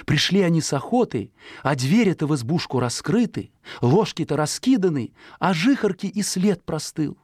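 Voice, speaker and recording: A woman's voice: low voice, very animated, moderate pace, a little mellow, breathy edge, dry recording, studio quality. A woman storyteller narrating a children's fairy tale in a folksy, theatrical, singsong way.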